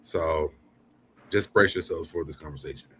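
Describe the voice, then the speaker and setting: A man's voice: a bass voice, normal speed, fairly bright tone, a little hiss or hum. A man hosting a show, giving casual, blunt and profane live commentary between clips. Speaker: show host.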